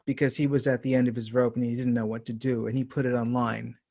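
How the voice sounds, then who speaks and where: Medium-pitched man, deliberate interviewer. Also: level tone, vocal fry, dark tone. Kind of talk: conversational, probing interview questions.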